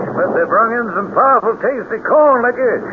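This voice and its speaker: Lively Southern storekeeper. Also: male voice, very high-pitched, a little slow. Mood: amiable. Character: folksy, gossipy backwoods Southern general store keeper. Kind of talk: 1970s radio drama acting. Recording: very noisy, tinny old radio recording.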